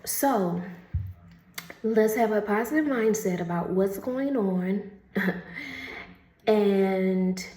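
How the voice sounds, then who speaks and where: Woman, oracle card reader, chatty, laughs often, unhurried, echoey room. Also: medium pitch, warm tone. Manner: chatty, laughs often, calls listener honey; reads card text slowly and carefully. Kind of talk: casual spiritual card reading.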